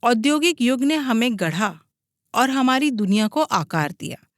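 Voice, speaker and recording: A woman's voice: on the high side, lively intonation, a little slow, dry recording, studio quality. A woman reading a scripted audiobook as its narrator.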